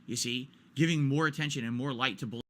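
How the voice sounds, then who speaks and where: A man's voice: mid-range voice, fairly quick. A man in his forties ranting during a stream, exasperated and repetitive, hammering his points home. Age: 40s.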